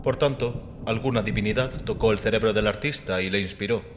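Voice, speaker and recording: A man voicing a mysterious, self-assured skeptic with a measured, formal and mocking manner. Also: fairly low pitch, background noise, close to an everyday voice. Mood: cynical, assured. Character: mysterious male guest, self-assured, scornful skeptic of faith. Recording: background music under voice.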